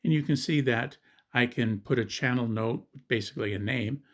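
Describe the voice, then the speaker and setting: A man's voice: medium pitch, normal speed. A man giving a step-by-step product review and app demonstration as a gadget reviewer.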